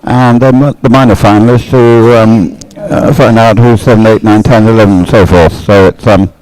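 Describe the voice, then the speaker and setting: A man's voice: fairly low pitch, steady tone, normal speed, a little mellow, low-quality recording. A man giving live race commentary, explaining things in a hesitant, unscripted way. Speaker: sports commentator.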